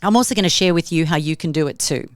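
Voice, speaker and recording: A woman's voice: deep voice, fast, dry recording, clean studio sound. A middle-aged woman with a South African accent, hosting a solo podcast in an upbeat, motivational tone. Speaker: podcast host.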